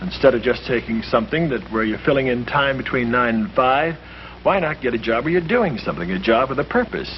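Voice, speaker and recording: Man, American, earnest preacher's rhetoric, lively pitch, medium register, muffled 1950s film recording. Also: fairly quick, slight background noise. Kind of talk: earnest persuasive address. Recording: muffled, humming old film soundtrack.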